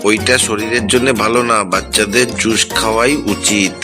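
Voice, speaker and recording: A man's voice: lowish voice, fairly even intonation, normal speed, music in the background, lightly acted. A man playing a kindly father figure in a children's cartoon, instructing in a fatherly way.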